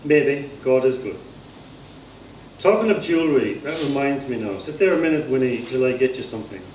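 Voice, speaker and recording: A man's voice: medium pitch, animated, a little fast, a little mellow, close to an everyday voice. A man with an Irish accent voicing a welcoming, insistent country father in a story, coaxing and folksy. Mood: gentle. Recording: echoey room, distant mic, faint noise.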